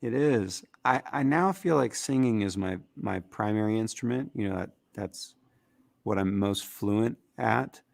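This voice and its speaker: A man with a raspy, gritty voice, talking casually as a musician guest in an interview, rambling with fillers. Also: fairly low pitch, unhurried, no echo. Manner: relaxed, rambling with fillers and restarts.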